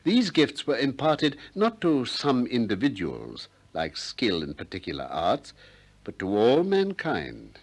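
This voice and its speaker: An actor playing a shrewd, probing Athenian philosopher in a 1960s radio drama, deliberate and courteous with polite irony. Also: male voice, mid-range voice, wildly swooping pitch. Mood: measured narration. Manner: deliberate, politely ironic, questioning.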